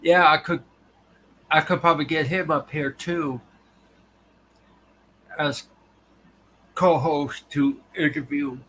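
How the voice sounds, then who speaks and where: A man hosting a casual livestream, talking haltingly with a tired, dry voice. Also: on the high side, steady tone, pushed and bright, a little hiss or hum, rough recording. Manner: halting, restarts often. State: voice tiring and dry. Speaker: livestream host. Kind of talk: casual live chat with viewers.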